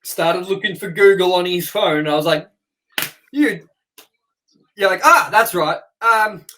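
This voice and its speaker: A man's voice: high-pitched, a little slow, sharp tone, a little hiss or hum. A man co-hosting a casual movie review chat, rambling with lots of fillers and "you know". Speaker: co-host.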